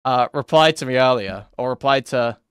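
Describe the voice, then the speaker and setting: A man's voice: mid-range voice, normal speed, fairly bright tone, clean tone, dry recording, studio quality. A young man doing online drama commentary, mocking and crude, chuckling at his own jabs. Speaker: commentary YouTuber.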